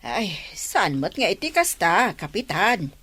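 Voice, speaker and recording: A village councilwoman in a Filipino radio comedy, with an Ilocano accent. Her voice is on the low side and moves in animated, questioning swings. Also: a little slow, slightly rough, heavily acted.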